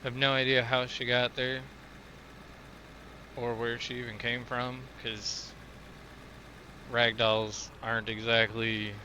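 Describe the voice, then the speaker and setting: Bright-voiced Midwestern man chatting slowly. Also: mid-range voice, a little hiss or hum, poor audio. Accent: Midwestern American. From Illinois, USA. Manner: rambling, loose, filler-heavy talk to chat. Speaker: gaming streamer. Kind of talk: casual live-stream chat while playing.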